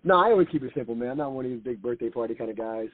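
Slangy husky man in his late forties. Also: mid-range voice, animated, rapid-fire, narrow, tinny audio. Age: late 40s. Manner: slangy, informal, peppers talk with "bro" and "man". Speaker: comedian, interview guest. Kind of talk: casual conversational chat.

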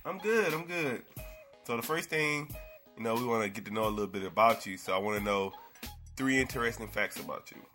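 A man's voice: medium pitch, lively intonation, fairly quick, pushed and bright, soft background music. A man hosting an interview, asking questions in a casual, loose way. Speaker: interview host.

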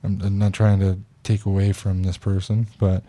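A man's voice: deep voice, flat delivery, normal speed, dark tone. A man hosting a casual podcast, chatting bluntly and reading news posts aloud. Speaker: podcast host.

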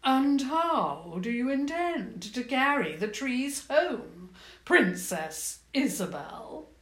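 A woman voicing a tall, regal female goblin queen, cold and smug, who speaks slowly and grandly with mockery. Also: sits high, very animated, a bit bright, pitched above the performer's own voice, full character voice. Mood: mocking. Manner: slow, grand and mocking. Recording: echoey room.

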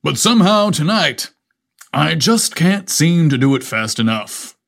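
A man's voice: on the high side, very animated, unhurried, a bit bright, exaggerated voice. Man playing a playful, flirty fireman captain. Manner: playful, smooth-talking. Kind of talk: acted dialogue in narrated story.

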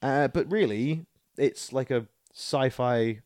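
A Scottish man co-hosting a podcast, chatting casually and playfully about films and games in an informal, excitable way. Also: mid-range voice, slow, no echo, studio quality. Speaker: podcast co-host.

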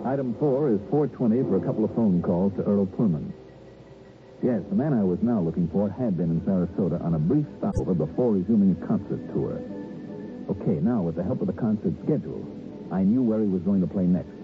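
A man's voice: low-pitched, brisk, music in the background, natural voice. Man acting a punchy radio detective, tinny recording. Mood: matter-of-fact. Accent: American. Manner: punchy, insistent, slangy delivery. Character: brisk, wisecracking male insurance investigator hero. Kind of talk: 1950s-60s radio detective drama acting and narration. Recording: muffled, noisy vintage radio broadcast.

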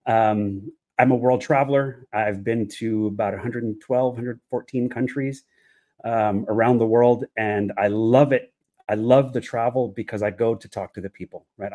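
Low-pitched man, reflective podcast guest. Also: warm tone. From United States. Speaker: podcast guest, economist and development specialist. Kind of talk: conversational interview answer.

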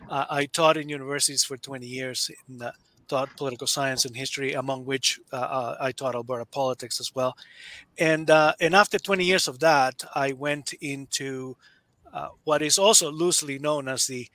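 A man's voice: medium pitch, steady tone, normal speed, pushed and bright, dry recording. A man in his fifties from Nicaragua, talking as a guest author in a casual interview, hesitant with many fillers and restarts.

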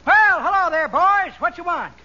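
Very high-voiced folksy old man. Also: lively intonation, normal speed, bright, forceful tone, heavily acted. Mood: sheepish. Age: older. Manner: folksy, drawling old-timer talk. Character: cheerful henpecked old man, folksy teller of tall jokes. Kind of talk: 1940s radio comedy acting. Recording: noisy, muffled 1940s radio recording.

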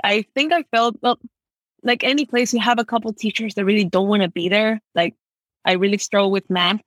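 Young Ecuadorian-raised woman guest, chatty and animated, dry close recording. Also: mid-range voice, no echo, rough recording. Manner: chatty, uses lots of "like", animated storytelling. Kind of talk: casual interview conversation.

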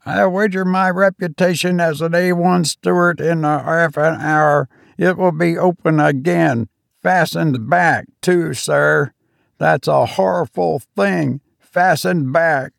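A man's voice: a little high, steady tone, slow pace, gravelly, no echo, studio quality, pitched above the performer's own voice, heavily acted. A man voicing a nervous, obsequious male ship's steward who fawns and keeps saying sir. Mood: triumphant. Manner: fawning, keeps saying sir, drops grammar.

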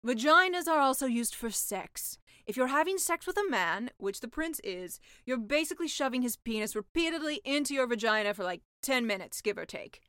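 A woman's voice: high-pitched, lively intonation, brisk, a bit bright, clear, resonant tone, no echo, clean studio sound. A woman voicing a brash, worldly sea witch with a blunt, matter-of-fact, slightly sly manner in a comic fairy-tale audiobook. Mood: frank, knowing.

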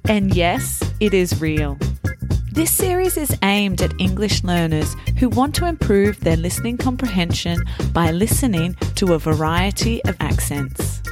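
A woman hosting a podcast for English learners, explaining words in a slow, careful, scripted way. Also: mid-range voice, very animated, over music. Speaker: podcast host.

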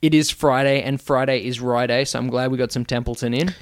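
A man's voice: mid-range voice, fairly even intonation, brisk, no echo, clean studio sound. A man with an Australian accent, a podcast guest telling jokey stories in casual chat, swearing freely. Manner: swearing, joking, storytelling.

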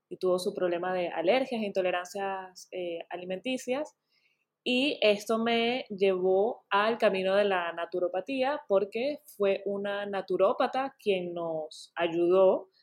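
A woman, a naturopath guest, talking in a casual interview in an earnest way and often restarting her words. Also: medium pitch.

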